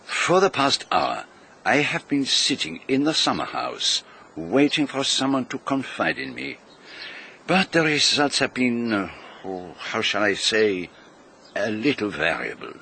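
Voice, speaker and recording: An actor playing a vain, precise celebrated detective in a radio drama, with a pompous, formal manner. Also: male voice, medium pitch, expressive, measured pace, vocal fry, sharp tone, a little hiss or hum, exaggerated voice. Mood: measured, musing.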